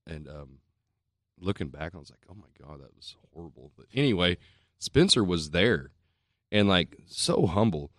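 A man's voice: low-pitched, sing-song, moderate pace, dry recording, clean studio sound. A man, a musician, telling a rambling, self-mocking story in casual chat, swearing and laughing easily.

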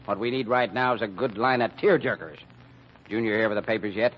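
An actor playing a dour, pessimistic company treasurer who grumbles through an American old-time radio mystery. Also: male voice, fairly low pitch, brisk, close to an everyday voice. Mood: gloomy. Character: sour, gloomy, grumbling male company treasurer. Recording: dull vintage radio recording.

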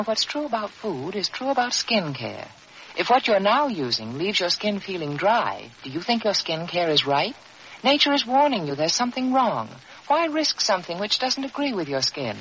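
A woman reading a 1940s radio commercial as a beauty authority, deliberate and instructive. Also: lowish voice, a bit bright. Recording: dull, hissy 1940s radio recording.

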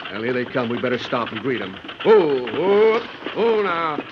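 Guy doing friendly pioneer wagon boss, folksy, very animated, muffled old western radio show. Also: mid-range voice, moderate pace, natural voice. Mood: eager. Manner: folksy, hearty frontier speech. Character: friendly, plain-spoken pioneer wagon master, married man. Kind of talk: 1940s-50s radio western acting. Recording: muffled noisy vintage radio recording.

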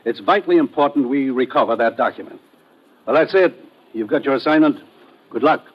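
An actor playing a brisk, authoritative government commissioner in an old 1950s radio drama. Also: male voice, mid-range voice, slightly rough, lightly acted. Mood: businesslike. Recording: muffled old radio recording.

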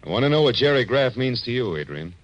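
An American actor playing a tough, wry private detective in a 1940s radio drama, with clipped, slangy, wisecracking delivery. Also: male voice, medium pitch, big pitch swings, quick pace, close to an everyday voice. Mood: probing, wry. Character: tough wry male private detective narrating his case. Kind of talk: 1940s radio acting, hardboiled first-person narration. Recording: dull, noisy old radio recording.

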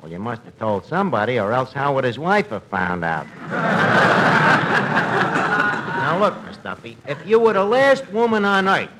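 Pompous old-time radio barkeep, high lively voice, slow punchlines, really noisy vintage recording. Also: male voice. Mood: sardonic. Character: wisecracking, malapropism-prone tavern manager, brash and self-important. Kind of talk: 1940s radio comedy acting.

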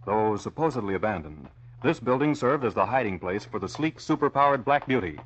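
A man's voice: lowish voice, expressive, a little fast, husky. A man announcing and narrating in a dramatic, declamatory 1940s radio style. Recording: muffled old radio recording.

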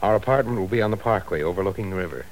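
Radio drama lead: actor as a deep-voiced, gently teasing young fiancé, old transcription hiss. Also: male voice, rapid-fire, close to an everyday voice, low-quality recording. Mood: tender. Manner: tender, teasing. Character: young man Steve, a gentle reassuring fiancé. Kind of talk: 1940s radio acting.

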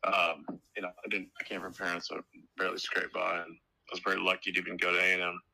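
A man's voice: sits low, completely flat delivery, quick pace, creaky, sharp tone, slightly rough, no echo, low-quality recording. A man from Texas, a guest musician telling laid-back stories in casual interview chat and often dropping "man". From Houston, Texas.

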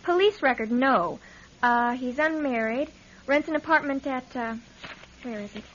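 An actress playing a detective's weary, dutiful assistant in a radio drama, casual and dry in manner. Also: female voice, sits high, unhurried, a bit bright, highs cut off, slight background noise.

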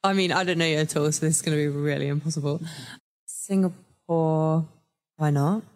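A woman's voice: deep voice, steady tone, a little fast, darkish tone. A woman hosting a podcast in a studio, reading a scripted intro and then chatting in a bubbly way with frequent laughs. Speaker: podcast host. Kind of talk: casual chat with scripted intro. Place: recording studio.

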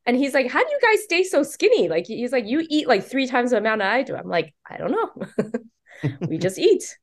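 A middle-aged woman from Taiwan, an author being interviewed, chatting casually and acting out the quotes in her stories with excitement. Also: fairly high pitch, normal speed. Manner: animated, excited storyteller who acts out quotes. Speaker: author and guest.

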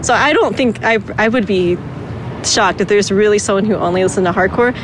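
A woman in her late twenties from Southern California talks loosely, full of slang and "like," breaking into laughter often. Her voice sits in a middle pitch range. Street and car noise runs clearly underneath. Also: brisk. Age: 20s. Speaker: musician guest. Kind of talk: casual interview chat.

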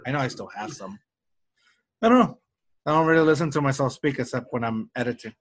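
A man's voice: medium pitch, animated, quick pace. A young man with a slight Mexican Spanish accent, a native Spanish speaker, chatting casually as a podcast co-host, rambling with lots of restarts and fillers. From Mexico-US border region. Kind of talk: casual conversation.

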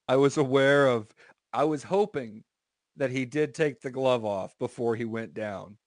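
A man's voice: mid-range voice, a little slow, a little mellow, no echo. Male podcast host, mid-range voice, dry studio sound, reads aloud theatrically and drops into banter. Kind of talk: comedic dramatic reading with banter.

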